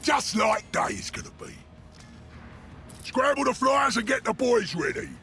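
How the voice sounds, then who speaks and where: An actor playing a brash, bloodthirsty ork warboss in a video game, shouting crude broken grammar. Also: male voice, very high-pitched, animated, a little slow, gravelly, soft background music, exaggerated voice. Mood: angry, threatening. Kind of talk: video game character acting.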